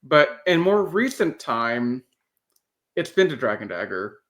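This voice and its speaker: Man hosting a casual podcast, medium pitch. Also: big pitch swings, measured pace, fairly bright tone. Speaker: podcast host.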